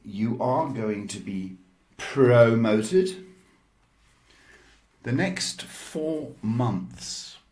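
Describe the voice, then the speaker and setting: A man hosting a psychic tarot livestream, talking slowly and playfully, tired, giving readings with pet names. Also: mid-range voice, darkish tone.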